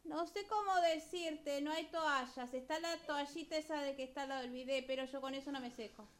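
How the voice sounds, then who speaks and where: Very high-pitched Argentine complainer, acted by a woman, radio drama: whiny, exasperated, short on patience. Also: a little fast, lightly acted. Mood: complaining. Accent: Rioplatense Argentine. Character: exasperated woman stuck freezing in the bathroom without towel.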